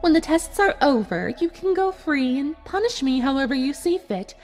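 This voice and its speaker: Woman as high-voiced, coldly menacing unicorn villainess. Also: very animated, loud music behind the voice. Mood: menacing, controlled. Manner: menacing, theatrical, deliberate. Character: cold, vengeful adult female unicorn villain, smug and bitter. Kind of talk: dramatic fan fiction audio reading.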